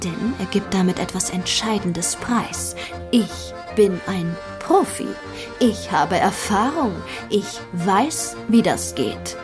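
A woman's voice: mid-range voice, animated, takes it slowly, over music. An actress playing a self-assured, flirtatious woman professor in a radio drama, articulate and given to lecturing.

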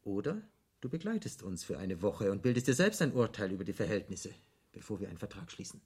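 Husky, indignant devil. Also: male voice, fairly low pitch, very animated, fast, darkish tone. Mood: persuasive. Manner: rhetorical, emphatic, elaborate phrasing. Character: male devil, eloquent, indignant, persuasive tempter. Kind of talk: radio drama acting.

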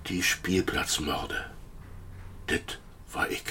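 An actor playing a shady, evasive informant in a radio drama, with a halting, hemming way of talking. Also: male voice, low-pitched, pushed and bright, soft background music, exaggerated voice. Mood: shifty, confiding. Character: shady, evasive pub-going male informant, eager and shifty. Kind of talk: naturalistic German radio drama acting.

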